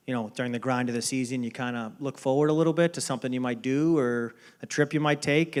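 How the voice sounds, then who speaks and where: A man in his thirties from Boston with a Boston accent, answering interview questions in a relaxed way with many ums and restarts. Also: mid-range voice, clean tone. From Boston area. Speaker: baseball front office executive. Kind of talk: casual interview at a dinner event.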